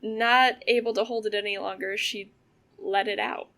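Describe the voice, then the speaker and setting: A young woman acting as a storyteller in an audio drama, with a creaky voice. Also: mid-range voice, fairly even intonation, normal speed, fairly bright tone. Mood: measured, eerie storytelling. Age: young adult. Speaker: student audio drama actor.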